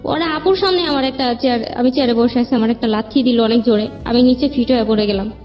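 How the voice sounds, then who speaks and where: High-voiced Bangladeshi woman telling her story. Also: fast talker. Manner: rambling, plain-spoken narration with quoted dialogue. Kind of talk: personal storytelling interview. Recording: band-limited dull sound with background music.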